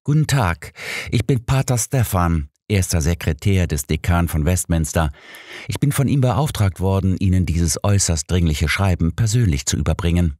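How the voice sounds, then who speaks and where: A man's voice: sits low, expressive, normal speed, a little mellow, dry recording, clean studio sound. A man voicing a polite, deferential priest in an audiobook, with a formal manner. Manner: formal, courteous. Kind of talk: audiobook character dialogue.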